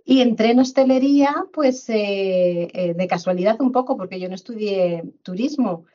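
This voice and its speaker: A middle-aged woman from Madrid, a guest on a podcast, recounting her career in a measured way with frequent fillers and repeated words. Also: mid-range voice, warm tone, muffled recording. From Spain, Madrid. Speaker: guest, HR director. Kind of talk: conversational interview.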